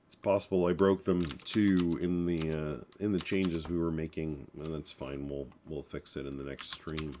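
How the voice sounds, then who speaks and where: A man's voice: very deep voice, fairly even intonation, normal speed, mellow, slight background noise. A man in his forties with a stuffed-up, congested voice, hosting a live programming stream and talking loosely with lots of fillers and restarts. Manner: rambling, many fillers and restarts. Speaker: programming livestream host. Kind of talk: casual live coding talk.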